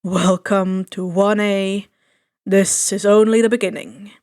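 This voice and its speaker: A woman voicing a tired, laid-back male hero teacher in an audio story, with a deep, slow, professional delivery. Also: fairly even intonation, darkish tone, lightly acted.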